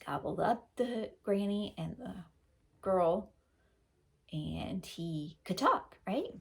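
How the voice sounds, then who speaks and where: A woman's voice: mid-range voice, animated, takes it slowly, gravelly. A woman teacher reading a storybook aloud expressively, with questioning, instructive asides.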